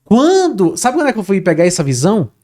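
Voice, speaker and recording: Male guest, casual animated storyteller, repeats himself, lots of fillers. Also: sits high, quick pace. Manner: animated, repeats words, says "cara" often. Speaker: guest, Portuguese teacher.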